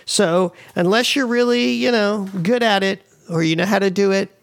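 A man's voice: high voice, normal speed, vocal fry, no echo, clean studio sound. A middle-aged man with an East Coast accent, hosting a podcast and giving rambling casual advice with many fillers and restarts. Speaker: podcast host.

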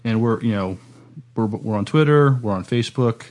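A man's voice: on the low side, moderate pace, a little mellow. A man chatting casually as a co-host on a podcast.

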